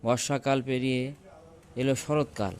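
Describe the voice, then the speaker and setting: A man's voice: mid-range voice, fairly even intonation, a little slow, a little mellow. Male narrator of children's stories.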